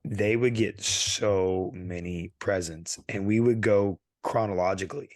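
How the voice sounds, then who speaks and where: A man in his thirties with a low, deep voice tells long, animated stories on a casual podcast. His voice is wearing thin and he keeps clearing his throat. The sound is close and dry. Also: unhurried, no echo. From California. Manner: rambling, animated storyteller. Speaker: podcast co-host.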